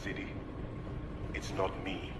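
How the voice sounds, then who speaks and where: An actor playing an earnest, anxious middle-aged father speaking over a radio link in an audio drama. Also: takes it slowly. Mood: worried. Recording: distant, echoey radio with noise.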